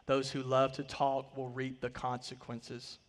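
A man's voice: mid-range voice, completely flat delivery, measured pace, a little hiss or hum. Man preaching in a reverberant hall. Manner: emphatic preaching, voice swelling and dropping to quiet line endings. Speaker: pastor. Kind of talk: sermon with scripture reading. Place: large church stage, indoors.

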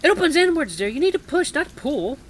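A man voicing a sweet elderly granny shopkeeper, soft, doting and nosy, in a fussing, motherly game character voice. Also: very high voice, sing-song, brisk, slight background noise, pitched above the performer's own voice. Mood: sweet, patient.

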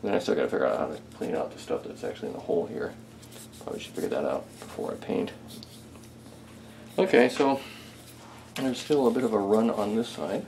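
A man's voice: fairly low pitch, very animated, brisk, creaky, pauses often, a little mellow, slight background noise, low-quality recording. A man chatting casually and hesitantly as he thinks aloud during hands-on hobby work in a small home workshop. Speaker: hobbyist restorer, video host.

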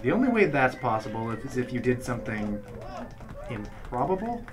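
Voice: medium pitch, big pitch swings, normal speed, music in the background, lightly acted. An actor playing an exasperated, nagging spaceship sidekick, scolding and incredulous, in a comic radio play.

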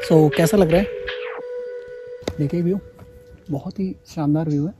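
A man in his thirties, chatty and excited, vlogging outdoors on a mountain road into a phone held at arm's length. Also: fairly high pitch, measured pace, music in the background. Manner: chatty, excited about the scenery, sings his own song. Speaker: travel vlogger. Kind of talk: casual travel vlog narration.

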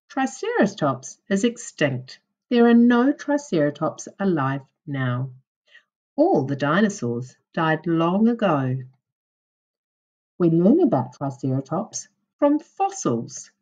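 A woman teacher reading a children's non-fiction book aloud in a deliberate, instructional way for young children. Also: deep voice, a little mellow. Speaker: primary school teacher.

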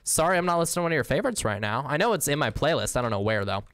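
A man's voice: fairly high pitch, sing-song, very fast, fairly bright tone, clean tone, no room sound, studio quality. A young man casually chatting with viewers while playing a game, slangy and easygoing, with the odd swear. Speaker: streamer. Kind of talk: casual game livestream, reading chat.

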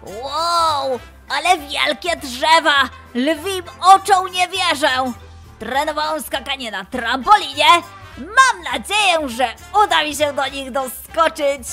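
Lady doing an excitable little lion boy, boastful, high and bright, storybook. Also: slow pace, faint music behind, exaggerated voice. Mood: determined. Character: eager, confident young male lion cub.

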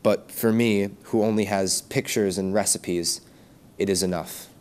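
Lowish-voiced teenage boy reciting. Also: steady tone, a little slow, background noise. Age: teens. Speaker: student poet. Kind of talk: poem recitation. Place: school stage at a podium. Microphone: gooseneck podium microphone.

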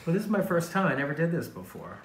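A man's voice: fairly high pitch, very animated, fast talker. A middle-aged man explaining things casually to a patient as a chiropractor. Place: small treatment room indoors. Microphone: camera mic a short way off, speaking through face mask. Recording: roomy indoor echo, faint room noise.

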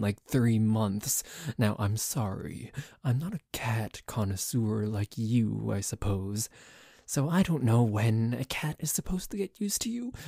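A man's voice: mid-range voice, dark tone, husky, no echo, studio quality. A man playing a dorky, jealous boyfriend in an ASMR roleplay, complaining in a stammering, exasperated way that sometimes drops toward a whisper. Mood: annoyed, whiny. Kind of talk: ASMR roleplay audio drama.

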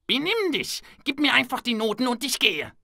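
A woman's voice: on the high side, very animated, creaky, pushed and bright, no room sound, studio quality, heavily acted. A woman voicing a sly, boastful male big bad wolf in a children's cartoon, drawing out the scheming words. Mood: snappish. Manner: drawn-out, boastful, scheming delivery.

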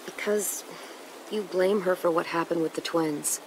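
Composed, direct teen girl survivor for a naturalistic game drama, slightly low voice over clear nature ambience. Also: fairly even intonation, unhurried, darkish tone, poor audio. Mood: frank, composed. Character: level-headed, frank teenage girl survivor. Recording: clear insect and nature noise behind.

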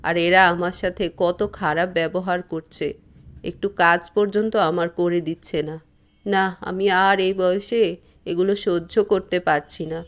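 A woman voicing an elderly, stubborn mother in a cartoon, speaking in a plaintive, drawn-out way. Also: on the low side, lightly acted. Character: elderly mother, fussy about food, self-pitying and stubborn. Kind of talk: cartoon voice acting.

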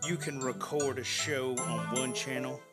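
A man's voice: mid-range voice, fairly even intonation, a little slow, close to an everyday voice. Man playing cocky electronics installer, music behind. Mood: confident, salesy. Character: cocky, eager male electronics-store installer. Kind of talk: parody advert sales patter. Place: small indoor stage with live audience. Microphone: studio mic on stand.

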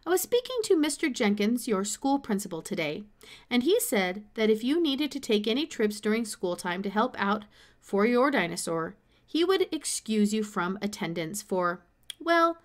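An easygoing country father from a children's novel, voiced by a woman reader. He talks practically, with casual and unhurried phrasing. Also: mid-range voice, big pitch swings, natural voice.

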